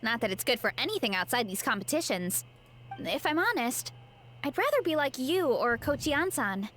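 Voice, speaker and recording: A woman's voice: sits high, very animated, moderate pace, a bit bright. A woman voicing a modest, cheerful young woman who is a champion eater. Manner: shy, cheerful. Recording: game audio with background music.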